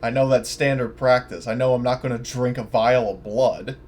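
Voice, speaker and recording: Blunt man with a medium-pitched voice, gaming. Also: fairly even intonation, moderate pace, a little mellow, slight background noise. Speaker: tabletop game player. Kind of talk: casual, blunt in-character game chat.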